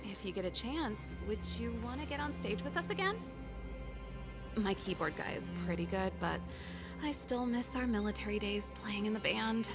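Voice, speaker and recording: An actress playing an easygoing, loyal rock musician in a video game, speaking casually and expressively. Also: female voice, mid-range voice, expressive, moderate pace, music in the background, natural voice. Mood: nostalgic. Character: bold, easygoing adult rock-musician woman, loyal old friend.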